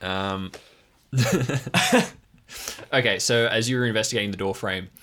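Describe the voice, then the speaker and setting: A man's voice: fairly low pitch, a little slow, bright, forceful tone, slight background noise. A man acting as game master, narrating an improvised tabletop game and describing scenes to players.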